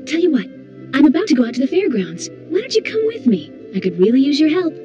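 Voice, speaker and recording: A woman playing a kind, gracious young princess in an animated film. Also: high voice, fast, highs cut off, soft background music. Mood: caring.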